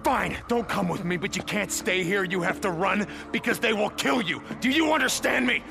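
Gruff biker drifter, profane, commanding, game cutscene, loud music behind. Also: male voice, high-pitched, lively intonation, normal speed. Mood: urgent. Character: gruff hardened male biker drifter, blunt. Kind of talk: video game cutscene acting. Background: loud game score music.

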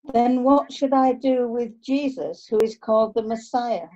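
Woman doing roman governor in bible play, formal, mellow voice, dull lo-fi recording. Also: fairly high pitch, measured pace. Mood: questioning. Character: Roman governor questioning a prisoner and crowd. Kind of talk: amateur dramatic Bible reading.

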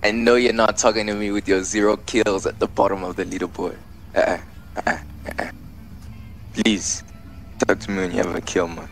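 A man's voice: low voice, little pitch movement, normal speed, slight background noise. A man in online game voice chat, sarcastically mocking his teammates. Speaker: player in online match. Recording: clip mixed with loud music.

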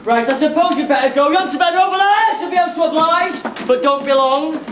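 Performer as a bossy high-pitched pantomime army sergeant. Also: lively intonation, fast. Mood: exasperated. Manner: barking, exasperated. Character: bossy army sergeant drilling hapless soldiers. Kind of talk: broad pantomime stage acting. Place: theatre stage before an audience. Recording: echoey theatre, music and audience noise.

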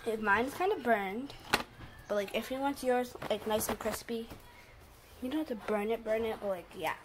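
A woman's voice: a little high, moderate pace. A teenage girl giving a casual, hesitant cooking demo in a home kitchen, filmed on a phone. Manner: chatty, hesitant with fillers. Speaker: guest cook. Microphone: handheld phone camera. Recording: noisy phone recording.

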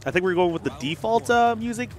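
A young man commentating a live fighting game tournament, joking and getting loud whenever the play heats up. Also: high-pitched, fast talker, slight background noise. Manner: excitable, jokey, gets loud when hyped. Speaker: esports commentator. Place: large hangar hall at a gaming event.

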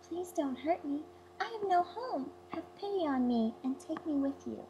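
A woman's voice: high-pitched, lively intonation, moderate pace, warm tone, highs cut off, voice pushed up. A young, clever princess from a storybook, voiced by a woman reader. She speaks in a raised, pleading voice, with faint noise on the recording.

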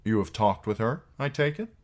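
A man's voice: on the low side, lively intonation, normal speed, a little mellow, voice pushed up, close to an everyday voice. A man voicing a bitter, cynical knight commander in an audiobook, speaking in a dry, sardonic, commanding way. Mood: curt, commanding.

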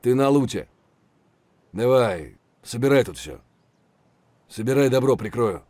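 Casting: male actor as steady squad-leading game soldier, mid-pitched, terse and controlled, unhurried clipped orders, dry sound. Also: dry recording, lightly acted. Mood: calm, watchful. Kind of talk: military game voice lines.

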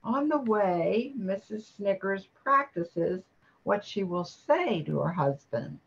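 A woman's voice: medium pitch, lively intonation, takes it slowly, vocal fry, fairly bright tone, husky, muffled recording. An older woman narrating a scripted radio play.

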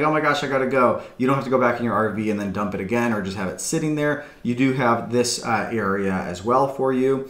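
A man's voice: mid-range voice, reverberant. A man in his thirties, a real estate broker, chatting enthusiastically through a property walkthrough at his desk webcam. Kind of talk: upbeat property walkthrough video. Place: small room indoors.

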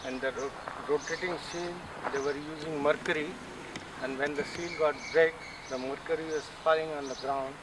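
Male guide, middle-aged. Fairly high register, explanatory on-location talk with distinct open-air ambience. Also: steady tone, moderate pace, far from the mic. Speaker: guide at a derelict factory site. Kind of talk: explanatory on-site tour talk. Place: outdoors at an abandoned industrial site. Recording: clear outdoor ambient noise.

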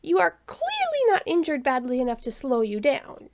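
A woman's voice: sits high, wildly swooping pitch, normal speed, a little mellow, pitched above the performer's own voice, full character voice. Woman voicing a piping, pert little winged alien. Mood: insistent. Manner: piping, insistent, questioning. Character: small winged alien, pert, nitpicking and inquisitive.

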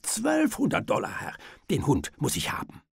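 A man voicing an excited, swearing rich gold-rush magnate with blustering exclamations in an audiobook. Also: a little high, normal speed, vocal fry, breathy edge, no room sound, clean studio sound, pitched above the performer's own voice, heavily acted. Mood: excited, greedy.

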